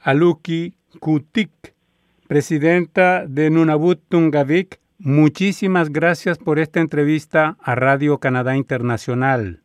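Man, radio interviewer, high smooth voice, slow polite questions, dry studio sound. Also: fairly even intonation, no echo.

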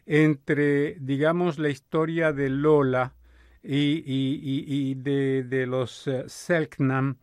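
A man's voice: medium pitch, fairly even intonation, slow pace, smooth, no room sound. A man hosting a radio interview, prompting his guest and finishing her sentences.

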